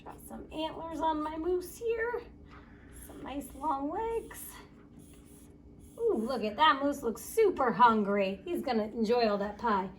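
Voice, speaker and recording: A woman reading a picture book aloud to children in an expressive, playful storytelling way, with chatty asides. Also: sits high, a little slow, a little hiss or hum. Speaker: story time reader.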